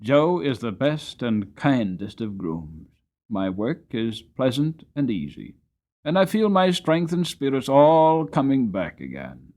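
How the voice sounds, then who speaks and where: Slow, expressive male storybook narrator. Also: mid-range voice. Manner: expressive, deliberate. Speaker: audiobook reader.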